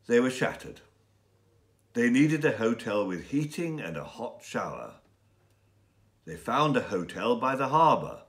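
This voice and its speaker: A man reads a short story for learners, enunciating carefully and deliberately. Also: medium pitch. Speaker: story reader. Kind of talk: scripted story narration for English learners. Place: small indoor room with bookshelves and window.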